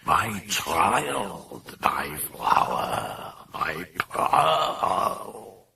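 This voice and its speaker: A man voicing a possessing demon in a girl's body, with a coarse, guttural, powerful voice and slow, drawn-out delivery. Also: high-pitched, expressive, vocal fry, pushed and bright, slightly rough, distant mic, pitched above the performer's own voice, full character voice. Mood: ecstatic crooning. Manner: slow, drawn-out bellowing and crooning.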